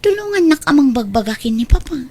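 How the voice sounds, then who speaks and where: An actress with an Ilocano accent playing a pampered, scheming young daughter who talks in a chummy, stubborn way in a radio drama. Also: female voice, high-pitched, very animated, fast, mellow. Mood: stubborn, conspiring. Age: young adult.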